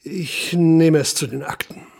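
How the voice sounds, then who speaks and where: An actor playing a sober, methodical small-town police inspector in a German radio drama, speaking in a measured, matter-of-fact way. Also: male voice, fairly high pitch, steady tone, gravelly, darkish tone, no room sound. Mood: sceptical, firm.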